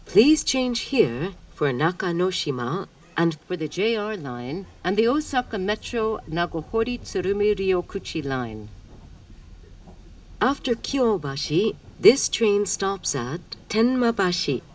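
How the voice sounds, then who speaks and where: Super slow lady train announcer, formal and clear wording, kinda distant over the car speakers. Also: medium pitch, big pitch swings. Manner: measured, formal, carefully enunciated. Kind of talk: scripted transit announcement.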